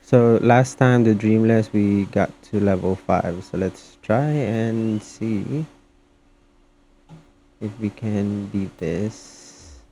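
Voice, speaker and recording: A man giving casual, somewhat halting gameplay commentary as he streams. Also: fairly low pitch, warm tone. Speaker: game streamer. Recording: faint background noise.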